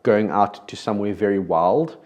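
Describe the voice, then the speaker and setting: A man's voice: fairly low pitch, moderate pace, a little mellow. A man from South Africa with a South African accent, hosting a podcast in a casual, conversational way with frequent restarts. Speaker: podcast host.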